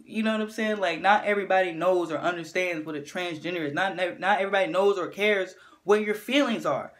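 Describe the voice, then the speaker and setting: A woman with a deep voice gives an animated opinion rant to camera, often checking in with her listener. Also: a little fast. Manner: animated, keeps asking "you know what I'm saying". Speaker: vlogger.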